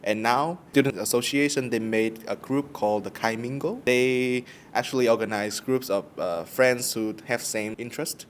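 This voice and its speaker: A man's voice: medium pitch, moderate pace, hardly any pauses, slight background noise. A man in his twenties from Vietnam with a Vietnamese accent, answering casually as a student guest in an interview. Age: 20s.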